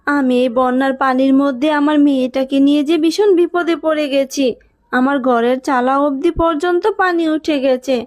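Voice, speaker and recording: A woman's voice: high-pitched, moderate pace, a little mellow, lightly acted. A woman voicing a poor, humble housemaid mother with a deferential manner, in a cartoon. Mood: pleading, worried. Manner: pleading, deferential. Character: poor pleading mother, housemaid stranded by flood. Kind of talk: Bengali cartoon dub.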